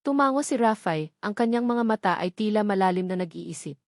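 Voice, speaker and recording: A woman reading a scripted romance story as narrator, in a measured storytelling delivery. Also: medium pitch, lively intonation, no room sound, clean studio sound.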